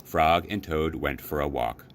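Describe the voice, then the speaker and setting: A man reading a children's storybook aloud as a library storyteller, with expressive, deliberate phrasing. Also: a bass voice, slight background noise.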